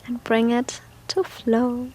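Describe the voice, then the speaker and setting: Female meditation guide, high breathy voice, very slow and soft, gentle instructional delivery with long pauses. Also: little pitch movement, low-quality recording.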